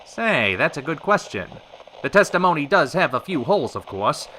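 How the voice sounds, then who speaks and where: An actor playing a showy radio host of a retro variety hour, with polished, theatrical announcer patter in an old-time radio style. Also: male voice, on the high side, big pitch swings, normal speed, pushed and bright, slight background noise, poor audio. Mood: inquisitive, smooth. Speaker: radio show host and interviewer.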